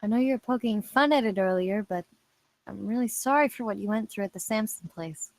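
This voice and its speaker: A woman's voice: medium pitch, brisk, no room sound, natural voice. Woman playing casual, wry detective, dry close sound. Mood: casual, sincere. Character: tough, wry female police detective on a date. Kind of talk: table read of a film script.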